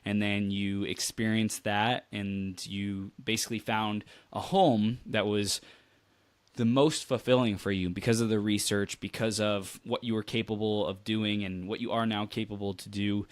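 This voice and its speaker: A man's voice: fairly low pitch, little pitch movement, moderate pace, no room sound. A man hosting a conversational coaching interview, affirming and reflecting back what he hears.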